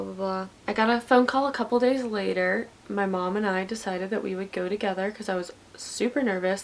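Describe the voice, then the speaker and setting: A woman's voice: mid-range voice, gravelly, a little hiss or hum. A woman in her twenties telling a story in a rambling, chatty way while getting ready. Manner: rambling, chatty with many likes. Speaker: vlogger.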